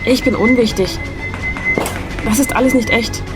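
A woman's voice: fairly high pitch, moderate pace, loud music behind the voice, lightly acted. An actress playing a mysterious, insistent woman guide in a radio play, speaking with earnest urgency. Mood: urgent, pleading.

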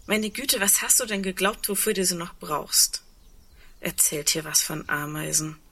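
A woman's voice: fairly low pitch, measured pace, pushed and bright, exaggerated voice. A woman acting in a German radio play as an earnest, insistent woman who clings to hope, speaking intimately and pressing with questions. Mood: impatient, challenging.

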